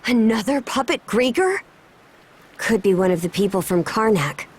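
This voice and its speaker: A woman's voice: medium pitch, lively intonation, normal speed, slightly rough. An actress playing a brash, confident young seafaring warrior woman in a video game, with a breezy, cocky, slangy way of talking. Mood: wary. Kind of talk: video game cutscene acting.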